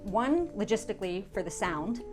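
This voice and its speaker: A woman's voice: medium pitch, lively intonation, normal speed, darkish tone, soft background music. A woman hosting a cooking show in a kitchen, chatting in a bubbly, enthusiastic way. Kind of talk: casual interview chat. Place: private restaurant kitchen.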